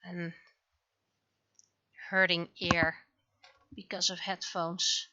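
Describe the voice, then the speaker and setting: A woman livestreamer chatting casually and venting in an animated, exasperated way, acting out imagined exchanges as she goes. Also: lowish voice, extremely slow, dry recording, muffled recording. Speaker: livestreamer, webcomic artist. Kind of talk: casual livestream chat, ranting.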